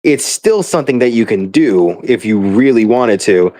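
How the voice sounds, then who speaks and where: A man's voice: on the low side, animated, moderate pace, warm tone. A man casually ranting about gaming in a rambling, emphatic, exasperated way, swearing often. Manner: rambling, profane.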